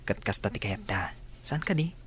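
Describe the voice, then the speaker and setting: A man playing a curious, polite businessman in a radio drama, soft-spoken and inquisitive. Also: fairly low pitch, very animated, moderate pace, husky, exaggerated voice. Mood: curious, concerned.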